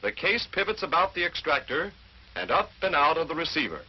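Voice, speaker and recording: A man's voice: a little high, sing-song, a little fast, slightly rough. An American man narrating a 1940s instructional film in a scripted, methodical, instructive way. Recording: dull, noisy 1940s film soundtrack.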